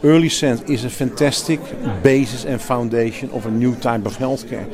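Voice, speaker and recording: Man, Dutch accent, medium pitch, emphatic and animated, busy crowd chatter behind. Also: normal speed. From Netherlands. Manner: animated, emphatic, restarts often, laughs easily. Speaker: healthcare company executive and clinician. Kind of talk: interview. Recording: interview at a crowded event.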